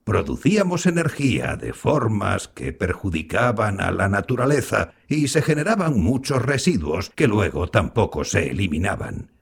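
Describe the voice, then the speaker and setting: An actor playing a kindly old grandfather scientist in an audio drama, patiently explaining things to a child with a gravelly, husky voice. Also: on the low side, very animated, moderate pace, few pauses, exaggerated voice. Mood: patient, explanatory. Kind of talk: scripted audio drama dialogue.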